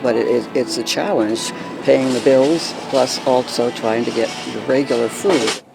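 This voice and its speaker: A man's voice: medium pitch, measured pace, gravelly, over music, poor audio. A man giving unscripted answers as a shopper in a news interview.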